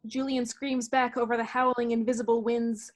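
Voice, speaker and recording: A woman's voice: a little high, little pitch movement, moderate pace. A woman reading stage directions as dramatic scripted narration over a video call.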